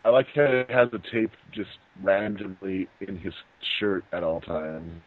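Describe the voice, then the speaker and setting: A man's voice: sits low, unhurried. A man riffing casually on a movie with friends in a joking, mock-dramatic way. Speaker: movie commentary host. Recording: roomy, tinny phone-like internet call.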